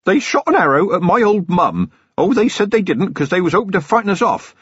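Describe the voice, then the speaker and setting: An eager young country constable, as a male narrator puts him on rattles along in a raised, excited voice. He is chatty, breathless and proud of himself. The audiobook recording is dry and a little dull. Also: high voice, a little fast, breathy edge, no room sound, voice pushed up, exaggerated voice.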